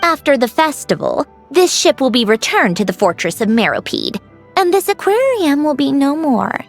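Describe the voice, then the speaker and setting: A proud, aloof young game heroine with a very high voice. She is clipped and dismissive, yet her pitch swings widely, with faint music underneath. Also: sing-song, moderate pace. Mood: skeptical. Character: aloof, brisk young woman, proud, skeptical, secretly soft. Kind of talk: anime-style video game voice acting.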